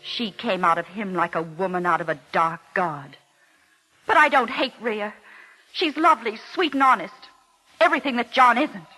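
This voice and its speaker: A woman's voice: mid-range voice, moderate pace, sharp tone, low-quality recording. A woman playing a proud, bitter, wronged wife in a 1940s radio drama, with intense, theatrical delivery. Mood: bitter, confessing.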